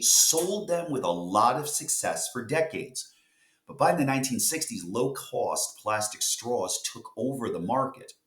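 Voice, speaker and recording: A man's voice: fairly low pitch, a bit bright. A man reading a news article aloud from a script. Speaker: reader of an article. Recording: echoey room.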